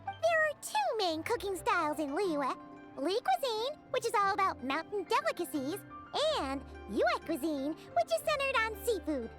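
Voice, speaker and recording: A woman's voice: very high-pitched, very animated, normal speed, over music. A woman voicing a tiny, bubbly, childlike female companion and guide in a video game, exclaiming things eagerly. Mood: gleeful, hungry. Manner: bubbly, exclamatory, refers to self in third person. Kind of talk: animated video game voice acting.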